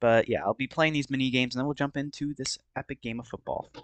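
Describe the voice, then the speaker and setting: A man with a mid-pitched voice hosts a gameplay video in a casual, conversational commentary style. His voice is slightly hoarse, as if starting to give out. The recording is close and very dry, with almost no room sound. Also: steady tone, fast talker, no room sound. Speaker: gaming video host.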